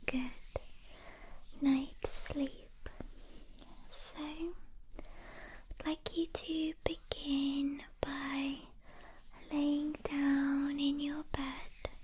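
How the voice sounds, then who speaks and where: A woman whispering a guided meditation in a soft, breathy, soothing ASMR style, leaving long pauses between short phrases. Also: sits high, little pitch movement, noisy background. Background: soft hand sounds and clicks.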